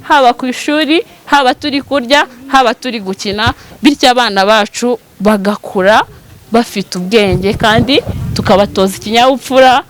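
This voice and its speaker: A woman's voice: a little high, normal speed. A woman from a school, steadily explaining its teaching methods in an interview. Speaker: school administrator. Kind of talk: interview statement.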